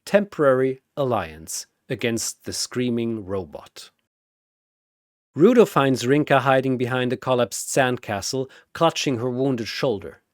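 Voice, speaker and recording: Guy narrating an epic battle, super dramatic n punchy, slow pace, pitch all over, dry sound. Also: lively intonation, no room sound. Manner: theatrical, punchy delivery.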